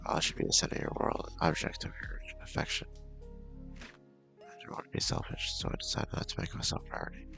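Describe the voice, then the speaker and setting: A man voicing a clingy, possessive young woman in a horror visual novel, halting and hushed, with music behind. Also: close to an everyday voice. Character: clingy, brooding young female best friend, intense and possessive.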